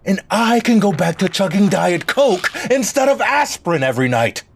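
A man playing a sarcastic, frazzled, domineering small-city mayor with a theatrical, drawn-out delivery in an audio drama. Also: high voice, lively intonation, vocal fry, a little hiss or hum. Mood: menacing. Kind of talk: scripted audio drama acting.

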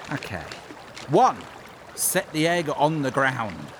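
A man's voice: a little high, wildly swooping pitch, very slow, background noise, full character voice. A man playing a nervous, reluctant woodland guide in a comedic audio drama, whiny and stammering, eager to please. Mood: eager explaining. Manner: whiny, stammering, rambling excuses. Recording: clear outdoor water ambience behind.

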